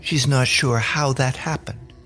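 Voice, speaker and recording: A man's voice: medium pitch, unhurried, fairly bright tone. A man narrating a scripted audio drama as the storyteller. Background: music bed.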